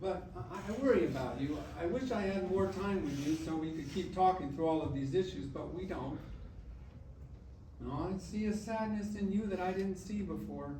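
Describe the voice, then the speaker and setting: An actor playing a loving, gently reassuring old grandfather's ghost in a staged musical, tender and earnest, both speaking and singing. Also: high voice, moderate pace, noisy background, natural voice. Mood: concerned, tender. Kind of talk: staged musical reading, spoken and sung. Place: live staged reading in a hall. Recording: echoey hall from far off, piano accompaniment. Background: piano music.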